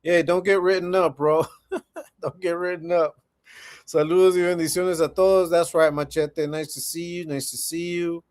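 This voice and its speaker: A man's voice: a little high, flat delivery, normal speed, darkish tone. A man in his forties hosting a casual livestream, chatting laid-back with viewers and often repeating himself. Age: 40s. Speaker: livestream host.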